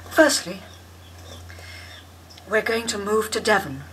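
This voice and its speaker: An actress playing a resolute, restrained mother in a radio drama, firm and measured. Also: mid-range voice, animated, a bit bright, a little hiss or hum.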